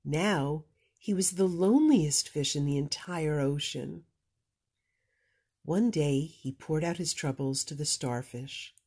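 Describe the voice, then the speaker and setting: A woman narrates a children's storybook. Her voice is low, with a husky texture. She reads expressively, as if to young listeners. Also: slow pace, a little mellow. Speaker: storybook reader. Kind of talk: children's read-aloud narration.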